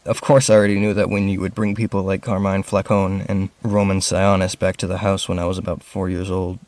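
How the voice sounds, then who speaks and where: A male actor plays a lonely orphaned rich boy in an audio drama. The voice is lowish and introspective, earnest but hesitant. The recording is dry and close. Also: level tone, fairly quick, darkish tone, no echo, close to an everyday voice. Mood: wistful, reflective.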